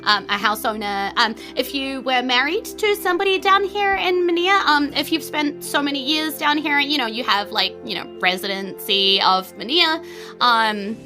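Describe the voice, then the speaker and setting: A woman's voice: sits high, expressive, moderate pace, over music, voice pushed up. A woman voicing a young, friendly Triton girl working as a chatty library clerk in a tabletop game, with rambling, helpful customer-service patter. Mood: helpful, officious.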